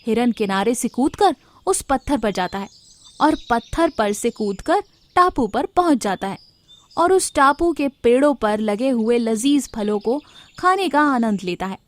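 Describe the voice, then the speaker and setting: A woman with a somewhat high voice narrates an animal story for children. She reads it as a scripted storyteller. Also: animated, unhurried.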